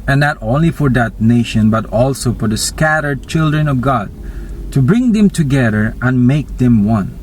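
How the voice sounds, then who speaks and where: A man reading scripture as a dramatized narration, deliberate and expressive. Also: mid-range voice, slight background noise, rough recording. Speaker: scripture reader.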